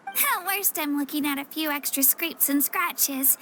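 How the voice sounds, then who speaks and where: A woman's voice: high voice, measured pace, bright, forceful tone, slight background noise, full character voice. A woman voicing an earnest, modest young warrior girl in a video game, youthful and a little hesitant. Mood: resigned, humble. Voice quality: youthful, girlish. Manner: earnest, self-effacing, hesitant. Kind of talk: video game character voice acting.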